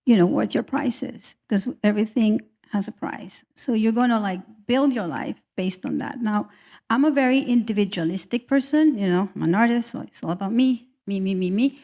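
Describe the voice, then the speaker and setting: A middle-aged woman speaks as an artist on a panel. Her voice sits at a medium pitch. She talks in a candid, emphatic, conversational way, moving between principle and personal story. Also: normal speed, gravelly, dark tone. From South America.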